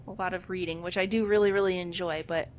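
A woman's voice: lowish voice, fairly even intonation, fast talker, a little hiss or hum. A woman with a cold and a stuffed-up nose, chatting casually and thinking aloud while she plays a video game. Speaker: let's play host.

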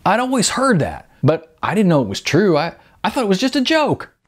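A man voicing a loud, obnoxious redneck restaurant customer in an audiobook, boisterous and mocking. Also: high-pitched, huge pitch swings, brisk, voice pushed up, full character voice. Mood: loud, amused. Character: loud, obnoxious, jeering redneck diner, male.

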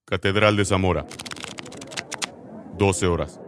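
A man's voice: low-pitched, slow pace. A man tersely announcing scenes from a script for a radio drama, over noisy ambience.

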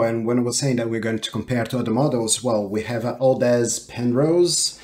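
Halting man giving a casual product review. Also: medium pitch, darkish tone. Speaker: tech reviewer. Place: small home office, indoors.

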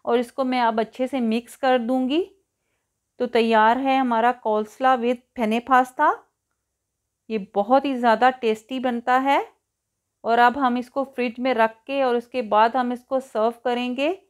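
A woman's voice: fairly high pitch, fairly even intonation, measured pace. A woman hosting a cooking tutorial in voice-over, explaining each step in an instructional way. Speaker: cooking show host.

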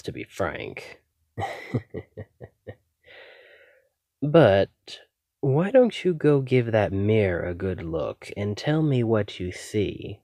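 A man's voice: fairly low pitch, a little mellow, no echo, studio quality. A man playing a polite, coy, obsessive vampire who poses as a lab technician, in an ASMR roleplay spoken to the listener. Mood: coy, revealing. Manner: polite, coy, measured, theatrical.

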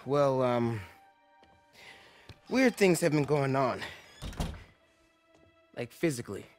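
A young male actor playing a nervous, polite teenage boy in a video game, halting and hesitant. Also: medium pitch, animated, soft background music. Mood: anxious, confiding. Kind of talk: naturalistic game cutscene acting.

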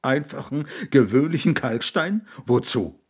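A man's voice: medium pitch, animated, a little slow, slightly rough. A man acting a frantic, hysterical tormented man. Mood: hysterical, mocking. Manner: frantic, pleading, theatrical. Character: desperate, hysterical, tormented man near breakdown.

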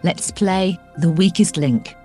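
A stern, cool female quiz-show host from a cartoon, voiced by a woman, reading the rules in a crisp, formal manner. The voice sits a little low and sounds dark and mellow, with faint music behind. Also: slow pace.

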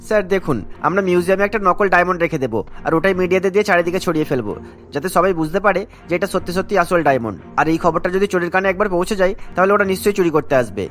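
A man's voice: sits high, lively intonation, racing, a bit bright, faint music behind. A man playing an earnest, determined young police inspector in a cartoon, speaking in an eager, emphatic way. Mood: confident, eager. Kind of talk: cartoon voice acting.

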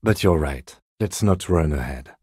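An actor playing a composed, dry police detective in a video game, speaking in a measured, restrained, matter-of-fact way with a soft husky edge. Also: male voice, extremely low pitch, expressive, no echo, exaggerated voice. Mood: calm, analytical. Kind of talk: video game dialogue acting.

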